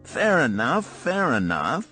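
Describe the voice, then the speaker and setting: Man acting out a fair-dealing master baker, lifted pitch. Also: wildly swooping pitch, sharp tone, faint music behind, full character voice, low-quality recording. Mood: pleased, generous. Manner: archaic, deliberate bargaining talk. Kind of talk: acted audiobook dialogue.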